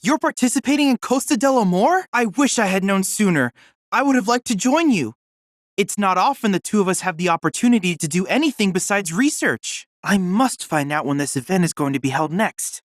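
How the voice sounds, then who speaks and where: A young android research assistant in a video game, courteous and earnest. His speech is formal and precise, with lively rises and falls in pitch. The sound is dry, with no room around it. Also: brisk, no echo. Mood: eager, curious. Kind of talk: scripted video game dialogue.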